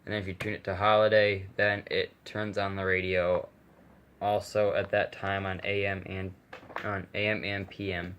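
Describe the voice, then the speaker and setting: A man's voice: low-pitched, little pitch movement, moderate pace, fairly bright tone, dull audio. A man giving a casual, off-the-cuff demonstration of a vintage radio as an amateur vlogger. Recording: clear background noise, clicks.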